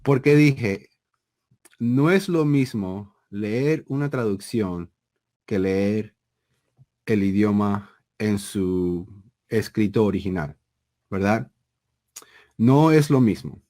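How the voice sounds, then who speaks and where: A man, explaining things conversationally as an interview guest, deliberate and emphatic, often checking with a tag question. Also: on the low side, warm tone. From Panama. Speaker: interview guest, seminary program director.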